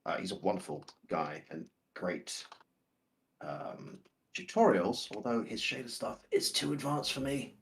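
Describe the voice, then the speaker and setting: A man's voice: lowish voice, very animated, measured pace. A man who grew up in Belgium, co-hosting a casual game-development stream and speaking his mind directly. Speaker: stream co-host. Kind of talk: casual chat.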